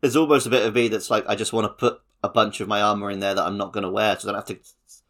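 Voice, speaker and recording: A man with a fairly low voice talks as a podcast co-host. His delivery is informal and conversational, like explaining a game to a friend. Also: fairly even intonation, racing, fairly bright tone. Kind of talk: casual gaming podcast chat.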